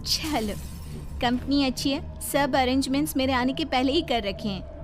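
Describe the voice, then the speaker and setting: A woman's voice: high-pitched, normal speed, lightly acted. A woman playing a young female engineer caught in a haunting, acted dramatically for a horror cartoon. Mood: casual, grumbling. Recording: loud music under the voice.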